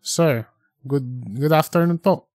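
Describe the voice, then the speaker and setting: A man's voice: on the high side, slow pace, a little mellow, dry recording, studio quality. A man voicing an earnest young reporter who speaks politely and hesitantly, pleading with his boss in an audiobook story. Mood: apologetic, pleading.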